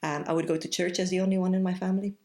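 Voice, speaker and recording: Belgian lady in her 40s, low voice, guest on a podcast, slow and thoughtful with lots of little pauses. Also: flat delivery, mellow. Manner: reflective, thoughtful, pausing often with "um". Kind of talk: casual interview conversation.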